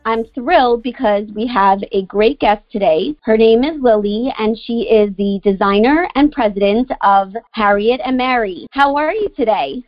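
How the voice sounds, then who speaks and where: A woman's voice: on the high side, moderate pace, hardly any pauses, dry recording. A woman hosting an interview show, an encouraging and upbeat interviewer in a conversational style. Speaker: podcast host. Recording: dry, slightly muffled lo-fi audio.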